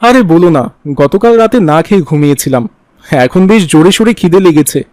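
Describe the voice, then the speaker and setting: A man's voice: fairly high pitch, expressive, fast talker, darkish tone, no room sound, natural voice. A man playing a good-natured, hospitable father in an Islamic cartoon, familiar and coaxing in manner.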